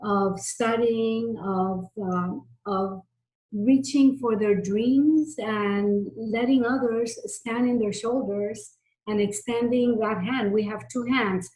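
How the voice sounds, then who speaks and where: A woman's voice: on the high side, slow pace, a little mellow. Middle-aged woman in an echoey room. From Miami, United States, of Cuban family. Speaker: interview guest, technology executive. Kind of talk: conversational interview answers, personal reflection. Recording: roomy, echoey sound.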